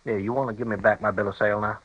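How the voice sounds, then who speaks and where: An actor playing an ornery, evasive frontier rancher with a sly, defensive, folksy way of talking, in a 1950s radio drama. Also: male voice, on the low side, fairly even intonation, rapid-fire, husky. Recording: dull old radio broadcast.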